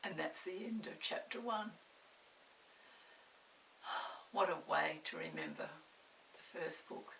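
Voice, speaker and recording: A woman's voice: sits low, huge pitch swings, slow, creaky, long pauses, pushed and bright. An older woman narrating a children's story expressively, with dramatic touches. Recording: distant mic with hiss.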